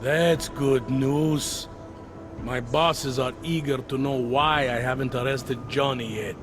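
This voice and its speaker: A man's voice: mid-range voice, steady tone, takes it slowly, over music, lightly acted. A man acting a world-weary, middle-aged police detective in a video game, with a hesitant, wry, conversational delivery. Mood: skeptical. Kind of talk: video game cutscene dialogue.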